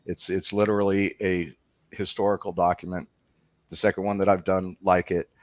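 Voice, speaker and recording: A man's voice: sits low, level tone, moderate pace, no room sound. A man talking conversationally as a documentary filmmaker being interviewed.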